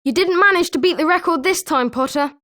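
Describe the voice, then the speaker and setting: A woman's voice: sits high, fairly quick, pushed and bright. A woman with a British accent voicing an eager, sporting schoolboy wizard with chirpy, emphatic delivery in a video game. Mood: consoling. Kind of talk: scripted video game character lines.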